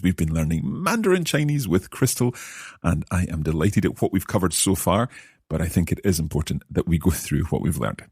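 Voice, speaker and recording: Deep-voiced Scottish man, very animated intonation. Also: slightly rough, no room sound, studio quality. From Scotland. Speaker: podcast host and language learner. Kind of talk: conversational language lesson podcast.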